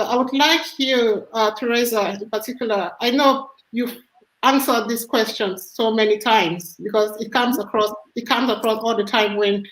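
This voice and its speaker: Echoey, higher-pitched woman interviewer. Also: a bit bright. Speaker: co-host, interviewer. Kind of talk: conversational interviewing. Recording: echoey room sound.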